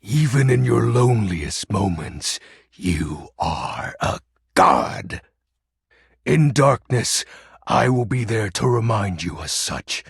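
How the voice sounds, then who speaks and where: An actor playing a melodramatic, grandiose yet awkwardly tender shadow lord in a video game, speaking pompously with a raspy, breathy voice. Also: male voice, on the low side, animated, slow pace, no room sound, studio quality, full character voice. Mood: tender, solemn. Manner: theatrical, pompous, archaic wording. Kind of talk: video game character voice acting.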